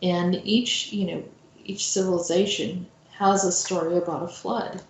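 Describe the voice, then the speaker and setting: A woman with a slightly low voice talks at a slow, halting pace, as if hosting and introducing a story. The recording is roomy and echoing, and her delivery is informal and reflective. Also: steady tone. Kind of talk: informal spoken commentary.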